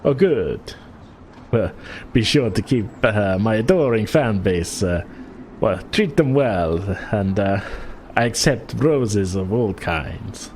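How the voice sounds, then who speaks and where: A man's voice: on the low side, expressive, slow, a little mellow, a little hiss or hum. A man voicing a vain, grandiose dwarf opera star who greets people effusively, in a tabletop game. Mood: self-satisfied. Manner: grandiose, welcoming, self-adoring.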